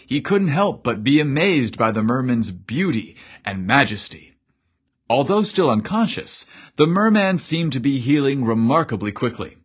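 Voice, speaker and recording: A man narrating a story in a measured, descriptive storytelling manner. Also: mid-range voice, slightly rough.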